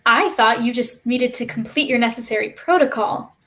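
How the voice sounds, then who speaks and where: A woman playing a defiant, quick-witted child spacewalker in a theatrical script reading, flustered yet argumentative. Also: fairly high pitch, sharp tone. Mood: suspicious. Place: remote online stream.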